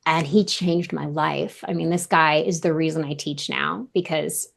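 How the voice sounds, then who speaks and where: Woman, thirties, vocal coach, casual interview talk, lowish voice, gravelly fry. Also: fairly even intonation, measured pace. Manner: chatty, lots of "like" and repeated words.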